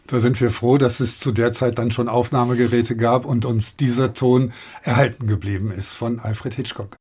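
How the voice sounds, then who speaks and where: A man's voice: medium pitch, steady tone, normal speed, a little mellow, husky. A man hosting a radio history podcast, moderating and asking questions conversationally. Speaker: podcast host.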